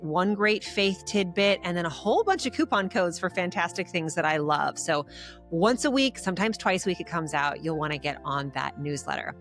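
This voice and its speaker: A woman's voice: fairly low pitch, a bit bright. A woman hosting a podcast, talking with upbeat enthusiasm as she introduces her guest and chats with her. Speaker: podcast host.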